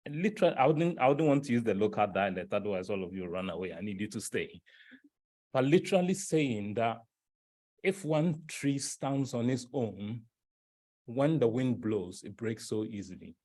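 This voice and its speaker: A man's voice: mid-range voice, lively intonation, moderate pace, darkish tone. A man from Ghana chairing a conference session, speaking emphatically, repeating words as he builds up to a proverb. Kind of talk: closing a plenary session. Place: conference hall plenary.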